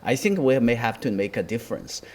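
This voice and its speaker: A man commenting on a news panel. He speaks haltingly, with many restarts and fillers, and delivers his points in measured bursts. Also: medium pitch, very animated, a little mellow.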